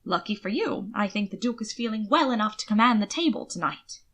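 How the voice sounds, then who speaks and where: Woman doing a friendly valet guy, tactful and good-humoured, bright voice, audiobook. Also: mid-range voice, expressive, fairly quick. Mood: encouraging.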